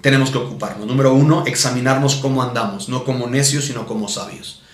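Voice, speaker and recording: Male pastor, Mexican accent, average pitch. Instructive preaching with repeated points and check-in questions, recorded with noticeable room echo. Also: fast. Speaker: pastor teaching at a missions conference. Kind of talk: teaching sermon with Bible readings. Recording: roomy, echoing sound.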